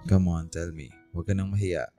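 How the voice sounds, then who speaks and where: An extremely deep, velvety dark voice for a young suitor, attentive and gently teasing. He speaks in a caring way in a radio romance drama, over faint music. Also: fairly even intonation, fairly quick. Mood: coaxing.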